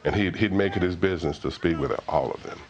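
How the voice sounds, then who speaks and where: Middle-aged man, American accent, extremely low voice, interview reminiscence, slightly dull sound. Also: quick pace, slight background noise.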